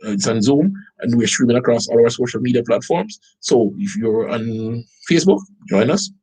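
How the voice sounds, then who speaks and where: Jamaican male host, husky, reads documents aloud with incredulous asides. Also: medium pitch, moderate pace, low-quality recording. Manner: animated, incredulous, rhetorical questions to viewers. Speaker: online show host. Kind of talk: commentary reading out a document.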